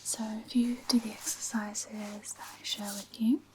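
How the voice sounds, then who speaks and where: A woman doing a soft-spoken ASMR medical roleplay as a physiotherapist, with a gentle, reassuring, whispery manner. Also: on the high side, fairly even intonation, normal speed, background noise. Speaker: ASMR performer playing a physiotherapist.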